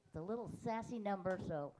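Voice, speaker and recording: A woman's voice: medium pitch, fast, mellow, a little hiss or hum, poor audio. A woman from a senior center jam band introducing songs at a memorial gathering, friendly and lightly joking.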